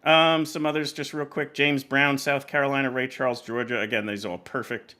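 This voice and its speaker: A man with a bright, forward voice hosts a casual podcast. His talk rambles and hesitates, doubling back on itself as he goes. The pitch sits in an average male range. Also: flat delivery, brisk. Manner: rambling, hesitant, self-interrupting delivery. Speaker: podcast host. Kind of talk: casual chat.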